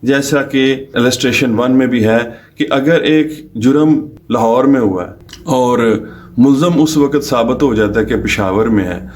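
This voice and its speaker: A man's voice: medium pitch, a little mellow. A man from Pakistan with a Pakistani accent, lecturing as a lawyer in a methodical, step-by-step way and mixing in English legal terms. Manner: methodical, explains step by step with restatements. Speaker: lawyer giving a law lecture.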